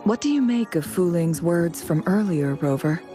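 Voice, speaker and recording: An actress playing a composed, perceptive noblewoman advisor in a video game, measured and poised, gently probing. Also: fairly low pitch, expressive, over music, lightly acted. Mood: calm, analytical.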